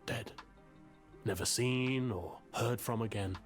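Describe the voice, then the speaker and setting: A man acts out a tormented literary man from a visual novel. He muses in florid, theatrical phrases at a middling male pitch, steeped in self-pity, with music playing underneath. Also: slow, lightly acted. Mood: despairing, resolute. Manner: theatrical, florid, anguished musing. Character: melodramatic, self-pitying male writer, a therapy client.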